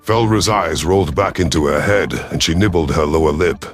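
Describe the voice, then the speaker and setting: A man's voice: low voice, a little fast, faint music behind. A man reading scripted first-person science fiction as a brooding, growling reptilian alien commander. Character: brooding, ruthless but conflicted male reptilian alien commander. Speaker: narrator of an audio story channel. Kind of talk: first-person scripted sci-fi narration in character.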